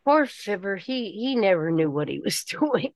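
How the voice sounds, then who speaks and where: A woman chatting casually in a halting way, often repeating words as she goes. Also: medium pitch, sing-song, dry recording. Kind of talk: casual chat.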